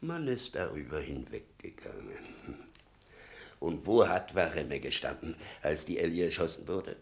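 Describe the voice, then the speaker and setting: An embittered old man with a very low voice that rises and falls dramatically. He is sardonic and brooding, breaking into scorn, in the manner of 1960 German radio drama. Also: very animated, measured pace, vocal fry, full character voice. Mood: brooding, bitter. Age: older. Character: bitter old father of a convicted man, sardonic.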